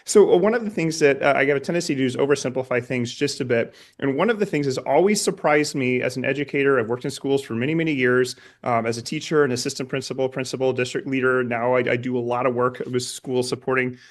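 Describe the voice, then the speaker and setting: Tentative male interviewer with medium pitch. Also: fast, few pauses. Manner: hesitant, roundabout, tentative phrasing. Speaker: interviewer, educator. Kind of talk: conversational interviewing.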